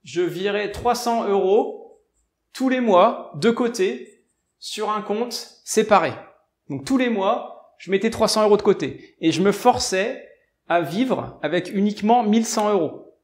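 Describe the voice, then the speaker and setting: A man's voice: high voice, lively intonation, unhurried. A man from provincial France with a French accent, explaining informally to viewers, often repeating and rephrasing his points as he goes. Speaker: self-help video presenter. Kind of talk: informal explainer on personal finance.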